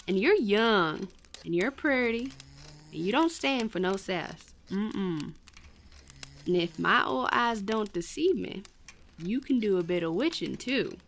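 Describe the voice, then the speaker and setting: A woman plays an old American country granny, kindly and shrewd, in a radio western. She draws her words out slowly with a creaky crackle and fond, folksy manner, over faint noise. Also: mid-range voice, animated. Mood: wistful, coaxing. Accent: American Southern or Western. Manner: slow, folksy, endearments like child and darling. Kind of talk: folksy radio drama acting.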